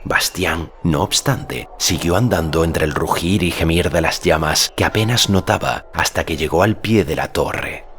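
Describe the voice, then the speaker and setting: A man's voice: deep voice, measured pace, few pauses, a bit bright. A man reading as an audiobook narrator, giving dramatic scripted narration of a fantasy story. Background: music.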